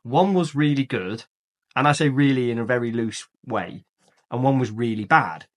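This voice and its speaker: A man's voice: medium pitch, normal speed. Guy chatting films, strong opinions, lots of ums and restarts. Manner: rambling, opinionated, many fillers and restarts. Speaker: podcast co-host. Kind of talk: casual film chat.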